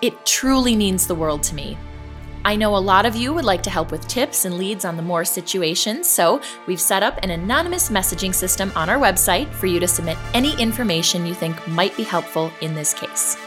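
An actress playing a determined podcast host who earnestly addresses listeners and defies a stalker in an audio drama. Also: female voice, medium pitch, expressive, brisk, over music, lightly acted. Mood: grateful, sincere.

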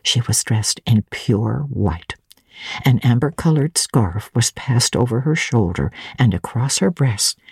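Woman acting elderly housekeeper, genteel, mellow, very deep for a woman, measured, admiring, storybook gossip. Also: slightly rough, no echo, clean studio sound, full character voice. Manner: measured, reminiscing, savouring details. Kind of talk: dramatic audiobook reading of classic literature.

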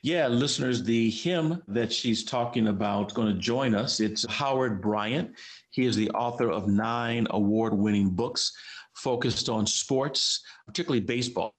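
A man's voice: mid-range voice, a little slow. A man from Los Angeles co-hosting an education podcast, chatting with his co-host and reading a guest's introduction.

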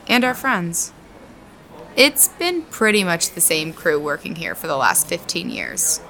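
A woman's voice: fairly low pitch, big pitch swings, a little slow, creaky, sharp tone, a little hiss or hum, exaggerated voice, low-quality recording. A woman acting in a naturalistic audio drama as a confident, dryly teasing, blunt farm owner. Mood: teasing, relaxed.

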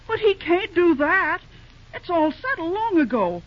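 A woman's voice: very high voice, very animated, a little slow, heavily acted. An actress playing a fussy, kindly middle-aged woman who runs a country restaurant, speaking in homespun rural dialect in a 1930s radio drama. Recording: dull old radio recording.

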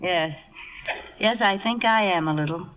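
An actress playing a wry, hard-bitten but lovestruck secretary in a radio comedy, plain-spoken and earnest. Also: female voice, on the low side, a little slow, natural voice. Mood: lovestruck, candid. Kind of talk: 1950 radio comedy acting. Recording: dull old radio broadcast recording.

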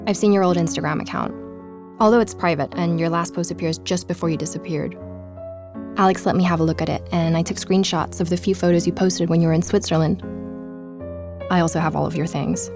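Casting: intent female journalist-narrator, slightly low register, reflective and confiding, audio drama with music bed. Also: fairly even intonation, fairly quick, gravelly. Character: woman journalist narrating her investigation, curious and intent. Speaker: podcast host and narrator.

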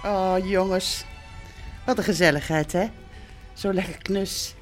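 A woman's voice: medium pitch, fairly even intonation, a little slow, soft background music, close to an everyday voice. An actress playing a cosy, chatty older mother in a radio play, rambling and hesitant as she soothes her grown children. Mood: cheerful, soothing. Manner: hesitant, rambling, repeating herself. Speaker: actor in an audio drama. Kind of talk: naturalistic radio play acting.

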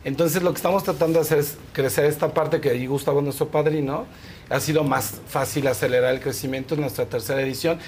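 A man's voice: a little high, fairly even intonation, quick pace, darkish tone. A Mexican man speaking as an interview guest, chatty and explanatory, often checking for agreement with small tag questions. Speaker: guest, fashion film festival organizer. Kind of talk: casual interview chat. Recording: clear background noise.

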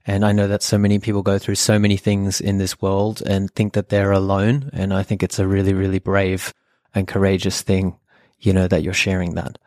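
A man's voice: low-pitched, completely flat delivery, moderate pace, darkish tone, no echo, clean studio sound. A man in his thirties from Australia whose first language is German, telling his life story in an earnest, reflective way with many fillers. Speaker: podcast co-host. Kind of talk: personal storytelling.

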